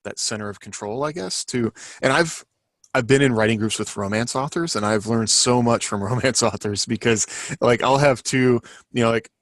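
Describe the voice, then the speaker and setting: A man chatting casually as a podcast panelist, talking in a halting, self-deprecating way. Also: mid-range voice, dry recording, clean studio sound. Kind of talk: casual chat.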